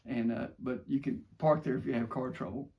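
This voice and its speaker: Older man, American accent, gravelly fry in the voice, casual storyteller, modest and rambling. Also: mid-range voice, fairly even intonation, brisk, lo-fi sound. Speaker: father telling stories from his youth. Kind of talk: casual first-person storytelling.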